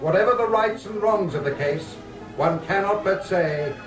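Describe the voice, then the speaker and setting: Casting: man as arch 1940s newsreel commentator, high-pitched and grandiose, heard at a distance over music. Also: normal speed, roomy sound, lightly acted. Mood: grandly wry. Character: plummy, wry male newsreel announcer.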